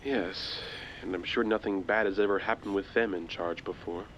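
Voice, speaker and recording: A weary, doubting civilian man in an audio drama. His voice sits fairly low, and he speaks in a measured, courteous, wry way. A faint vehicle rumble runs underneath. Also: steady tone, fairly bright tone, highs cut off. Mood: wary, skeptical. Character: weary, doubtful, polite civilian survivor of alien invasion.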